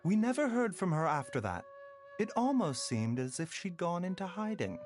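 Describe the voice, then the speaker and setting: A man voicing a gentle, courteous young doctor, composed and refined, in a video game. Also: sits high, big pitch swings, moderate pace, faint music behind. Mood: reflective. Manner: soft-spoken, polite, composed.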